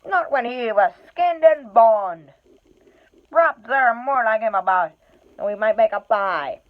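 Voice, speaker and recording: A woman voicing a big, dim, quarrelsome male troll who talks loud, coarse and blustering. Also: fairly high pitch, animated, measured pace, voice pushed up. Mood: gruff bluster. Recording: tinny phone-like sound.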